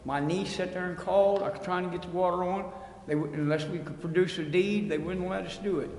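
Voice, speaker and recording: A man's voice: high-pitched, steady tone, brisk, darkish tone. A plain-spoken man making an unscripted, pleading appeal as a resident at a public hearing, recorded in a council chamber. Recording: echoey hall, long reverb, faint noise.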